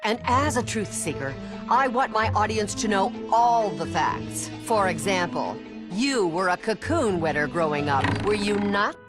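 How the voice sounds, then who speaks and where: A woman playing a showy, self-important talk show host with an arch, probing manner in an animated game. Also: medium pitch, measured pace, music in the background, lightly acted.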